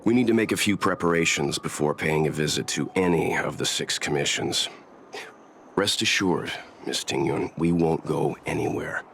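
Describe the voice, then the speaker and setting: An actor playing a calm, prudent older mentor in a video game, speaking in a measured, reassuring way with a wry edge. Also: male voice, rumbling low, gravelly, slight background noise, full character voice. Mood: measured, cautioning. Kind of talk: scripted video game dialogue. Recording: music behind the voice.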